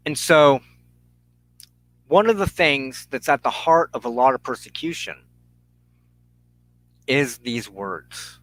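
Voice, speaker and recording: A man preaching an impassioned monologue as a spiritual show's host, emphatic and deliberate, with dramatic pauses and repeated phrases. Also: mid-range voice, fairly bright tone, no room sound, low-quality recording.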